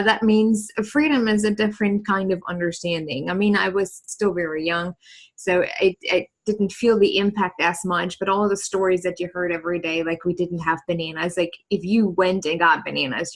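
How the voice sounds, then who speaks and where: German-accented woman, earnest and reflective. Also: lowish voice. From East Germany. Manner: earnest and reflective, with frequent laughs and "like" fillers. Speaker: podcast guest, entrepreneur. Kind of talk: casual conversational storytelling.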